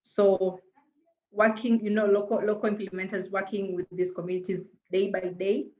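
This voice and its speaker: A woman's voice: medium pitch, level tone, moderate pace, a little mellow, lo-fi sound, slight background noise. A woman from Tanzania with a Tanzanian accent, a native Swahili speaker, answering earnestly as a panelist and often repeating words as she searches for them. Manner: earnest, hesitant with frequent repeated words and fillers.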